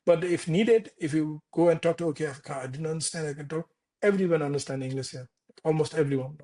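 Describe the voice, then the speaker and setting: A man in his thirties from Nepal with a Nepali accent, speaking as an interview guest in a casual, halting way with many restarts and fillers. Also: a little high, mellow. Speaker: architect guest. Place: Denmark.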